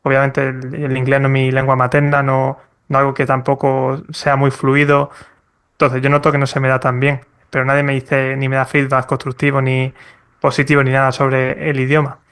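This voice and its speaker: Man on a podcast panel, smooth resonant voice, even tone, measured. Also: medium pitch. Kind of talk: casual panel discussion.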